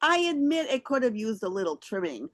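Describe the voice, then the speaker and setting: A woman's voice: a little high, sing-song, brisk. A woman reading out theater reviews as a show host. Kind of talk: scripted review read aloud.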